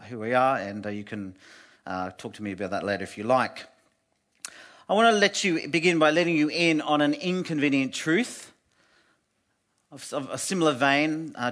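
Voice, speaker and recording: A man with a loud voice, preaching an earnest sermon in a church and dropping to a hush on solemn points. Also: medium pitch. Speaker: guest preacher from an anti-slavery group.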